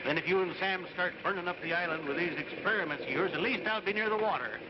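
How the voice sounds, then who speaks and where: An actor playing a folksy, easygoing, obliging island local in a 1950s radio drama. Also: male voice, high-pitched, fast talker, lightly acted. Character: Cap, obliging folksy island shack-dweller. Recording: muffled old radio broadcast, noisy background.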